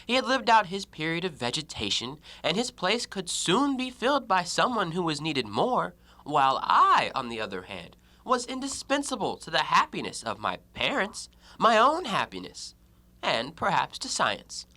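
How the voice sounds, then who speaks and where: An educated, self-justifying man , played by an actor in an audio drama, speaks in formal, reasoned sentences. The voice is bright and crisp with animated swings of pitch, recorded close and dry. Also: normal speed, no room sound. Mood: coolly self-justifying. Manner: articulate, rationalizing, formal stage diction. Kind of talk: stage-play acting in an audio drama.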